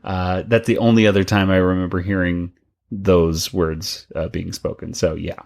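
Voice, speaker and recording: A man's voice: low voice, flat delivery, moderate pace, gravelly, darkish tone. A man co-hosting a podcast about a cartoon, talking casually and rambling a bit with restarts and laughs. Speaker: podcast co-host. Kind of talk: casual chat.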